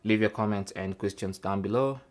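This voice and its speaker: Man, deep voice, tutorial host, informal, step-by-step explanation. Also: fairly quick. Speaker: host of a tech tips show. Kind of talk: casual tutorial narration.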